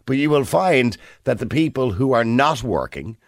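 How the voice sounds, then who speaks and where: A man's voice: mid-range voice, very animated, normal speed, dry recording, clean studio sound. A man with an Irish accent hosting a radio phone-in, chatty and probing, pushing back on callers and correcting himself as he goes. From Ireland. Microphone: studio microphone.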